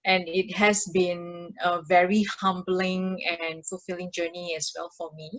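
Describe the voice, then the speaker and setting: A woman with an Indonesian accent tells personal stories as a podcast guest. Her voice sits a little low, and she goes very slowly and haltingly, often repeating words as she casually explains. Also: flat delivery, muffled recording. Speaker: podcast guest, mindfulness practitioner.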